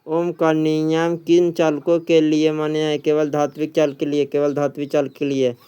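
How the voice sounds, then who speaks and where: A man's voice: fairly high pitch, monotone, moderate pace, dark tone, clear, resonant tone. A man working as an exam coach, reading quiz questions and answers aloud in an instructional way, often repeating them.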